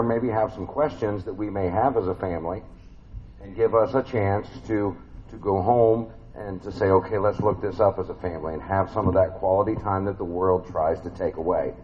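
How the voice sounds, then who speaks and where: A man's voice: on the low side, lo-fi sound, a little hiss or hum. A man with a big voice preaching a family sermon in a church, conversational and folksy, with many fillers and restarts.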